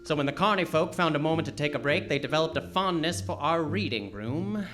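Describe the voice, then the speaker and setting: Man playing wry hard-boiled detective, lively delivery. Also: a little high, brisk, faint music behind. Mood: wry narration. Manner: wry, tough-talking, deadpan sarcasm. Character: hard-boiled male detective, gruff library security man, narrating.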